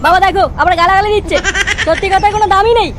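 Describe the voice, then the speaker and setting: A man voicing an indignant, blunt young bridegroom in a comedy cartoon, with a pitched-up, whiny delivery. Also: steady tone, very fast, over loud music, over a noisy crowd. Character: petulant, outspoken young bridegroom rejecting a match. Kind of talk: exaggerated comedy cartoon acting. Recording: noisy track with street and vehicle ambience.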